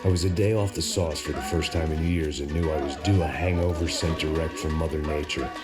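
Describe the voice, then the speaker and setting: An actor playing a weary, bitter middle-aged American ex-cop in a video game, with a cynical hardboiled delivery. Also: male voice, rumbling low, fairly even intonation, fairly quick, over loud music. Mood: wry, weary. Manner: cynical, world-weary, sardonic. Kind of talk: hardboiled scripted game narration and dialogue.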